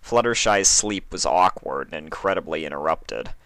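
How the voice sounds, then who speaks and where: A man's voice: rumbling low, animated, normal speed, creaky, a bit bright, dry recording. A man narrating a written story as a dramatic reading. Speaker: fan fiction reader.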